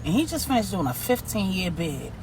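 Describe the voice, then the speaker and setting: Outspoken, careful female friend for an audio story, voiced by a woman narrator: frank, questioning, animated pitch. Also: a little fast, distant mic, noisy background. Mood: gossipy. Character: outspoken, cautious woman, loyal best friend.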